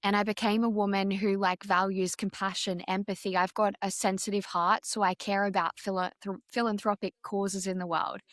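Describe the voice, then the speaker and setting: A woman of medium pitch speaks frankly in an interview and keeps going through fillers and restarts. The sound is dry and close, with no room in it. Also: little pitch movement, moderate pace, few pauses, dry recording. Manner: direct, open, with frequent fillers and restarts. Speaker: guest. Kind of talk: candid personal interview.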